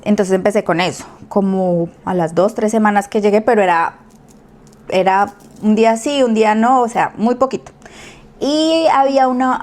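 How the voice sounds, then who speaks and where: A woman in her thirties from Colombia with a Colombian accent, a guest telling her story in casual chat, animated and acting out what people said. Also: medium pitch. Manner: animated, acts out quoted speech, laughs easily.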